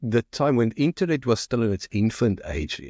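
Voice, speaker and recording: A man's voice: mid-range voice, very animated, moderate pace, darkish tone, dry recording, clean studio sound. A man from South Africa with a South African accent, a marketing agency founder talking casually as an interview guest, often repeating and restarting words as he thinks aloud.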